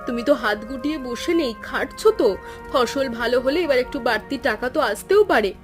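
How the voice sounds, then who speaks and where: A woman acts a patient wife and mother from a village folk tale cartoon. She speaks soothingly and reassuringly in a woman's voice pitched a bit high, with music playing underneath. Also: lively intonation, normal speed. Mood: consoling.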